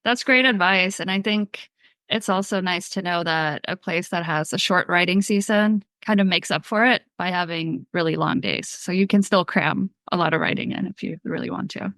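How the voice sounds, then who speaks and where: A woman from Sweden hosts a casual podcast interview. Her voice sits a little low, and she asks loose, chatty questions. The sound is dry and close. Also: moderate pace, dry recording. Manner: relaxed, chatty questions with filler "like". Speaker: podcast host.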